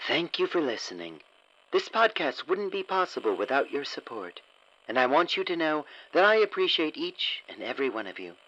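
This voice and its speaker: Bright, animated male podcast host. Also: on the high side, moderate pace, lo-fi sound. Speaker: podcast host reading closing credits. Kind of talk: scripted podcast outro.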